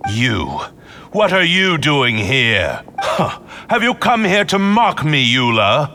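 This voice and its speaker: A man's voice: on the high side, very animated, takes it slowly, bright, forceful tone, slight background noise, full character voice. A man voicing a proud, pompous young aristocrat who is easily flustered, in a video game. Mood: indignant. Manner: pompous, flustered, stiffly formal. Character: stranded haughty young aristocrat, proud but needy. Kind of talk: animated video game acting. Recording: music behind, long reverb.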